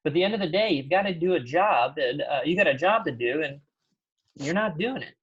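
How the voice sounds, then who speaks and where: A man's voice: fairly high pitch, expressive, fairly quick, slightly rough. A man acting a stern, blunt thirty-year-old efficiency expert in a comedy table read, scolding in a dry manner. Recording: dull, lo-fi sound.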